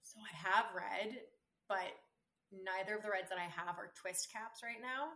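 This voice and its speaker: Raspy-voiced woman in her 30s, laid-back podcast cohost, dry jokes. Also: mid-range voice, moderate pace, sharp tone. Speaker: podcast co-host. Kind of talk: casual chat.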